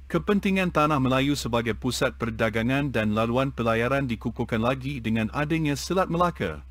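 A man reading an educational text aloud in a measured, even way. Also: mid-range voice, dry recording.

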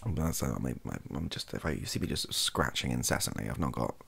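A young man chatting softly in a rambling, self-deprecating ASMR style, hesitant and husky, close to the microphone. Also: very deep voice, animated, a little fast. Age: young adult. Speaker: ASMR video creator. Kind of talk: softly spoken ASMR chat. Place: small bedroom at a desk.

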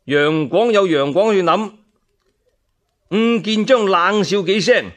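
A man's voice: sits high, slow pace, fairly bright tone. A man with a Cantonese accent narrating a historical tale as a dramatic storyteller.

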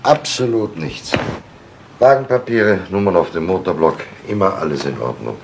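An actor playing a cautious, evasive company official with formal, measured phrasing in a 1970s radio crime drama. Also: male voice, deep voice, lively intonation, vocal fry. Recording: old radio recording with faint noise.

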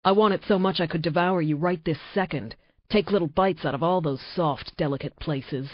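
Nate, a hushed, deep shapeshifter lover voiced by a woman. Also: normal speed, dry recording. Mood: tender. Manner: low hushed passionate delivery. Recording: dry, slightly dull audiobook recording.

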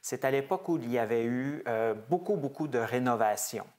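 A man in his forties, a museum research director, explaining the history of a chapel's stained glass in a measured, hesitant way with frequent fillers. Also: mid-range voice, expressive, darkish tone. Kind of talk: explanatory heritage talk. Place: small wood-paneled chapel, indoors.

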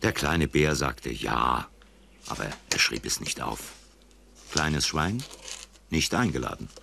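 A man's voice: extremely low pitch, lively intonation, takes it slowly, gravelly, pushed and bright, slight background noise. A man narrating a scripted children's story as its storyteller. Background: prominent music.

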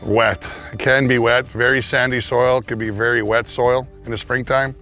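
A man's voice: medium pitch, flat delivery, normal speed, sharp tone, soft background music. A man, a farmer talking casually in a testimonial interview, recorded outdoors on a farm yard. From Canada. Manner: plain-spoken, casual, with restarts.

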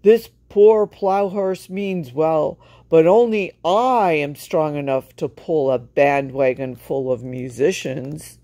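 Big storybook ox, male, proud strongman, booming self-importance, acted out by a female reader. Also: slow pace, hardly any pauses, warm tone, dry recording.

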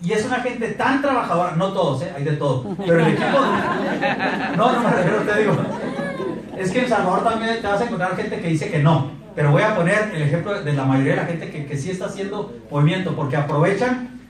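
A man in his thirties with a Mexican accent, giving a lively motivational sales talk on a handheld mic and asking the audience questions. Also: high-pitched, fast talker, distant mic, crowd noise. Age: 30s. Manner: animated, asks the audience questions. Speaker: sales speaker. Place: meeting room in front of a projector screen. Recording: echoey room recorded from afar.

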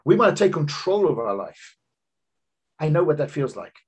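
Guy in his 50s doing a business seminar over webcam, kinda husky, voice goes up and down a lot, earnest storytelling. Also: very animated, normal speed. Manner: earnest, personal storytelling pitch with emphatic rises. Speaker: business coach giving a seminar talk. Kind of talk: seminar presentation over slides. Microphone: video call webcam.

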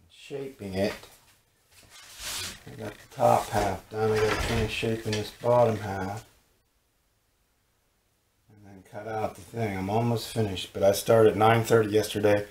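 A man's voice: on the low side, a little mellow, echoey room. A middle-aged man casually narrating his own woodworking project in a small home workshop, talking in a plain, matter-of-fact way. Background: talk radio playing in the background.

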